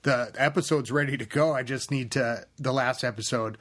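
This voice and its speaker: A man's voice: medium pitch, steady tone, normal speed, a bit bright. A man co-hosting a casual gaming podcast, rambling and restarting his sentences as he chats. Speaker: podcast co-host. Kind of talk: casual chat.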